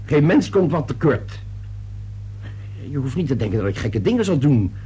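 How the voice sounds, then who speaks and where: Gruff, animated middle-aged father. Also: mid-range voice, moderate pace. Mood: defensive, irritated. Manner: gruff, impatient, blustering. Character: irritable, defensive middle-aged father and businessman. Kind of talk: radio play acting. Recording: noisy old radio play recording.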